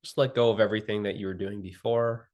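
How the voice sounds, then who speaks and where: A man leading a guided meditation as the guide, speaking gently and soothingly with long pauses between phrases. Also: lowish voice, steady tone.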